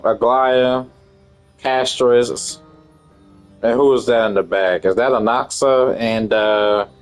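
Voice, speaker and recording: A man giving casual, offhand reaction commentary while playing a story-driven game, swearing mildly. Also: mid-range voice. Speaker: gamer commenting on playthrough.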